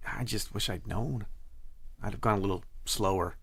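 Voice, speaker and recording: A low-voiced young college lover. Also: male voice, moderate pace, vocal fry, husky. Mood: tender. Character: teasing, romantic young male college lover. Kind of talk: audio drama acting.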